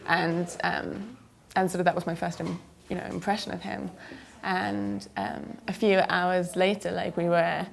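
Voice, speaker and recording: A woman's voice: fairly low pitch, flat delivery, a little slow, gravelly, few pauses, slight background noise. A young woman from the UK, a filmmaker guest answering interview questions hesitantly, full of fillers, and tired from travel.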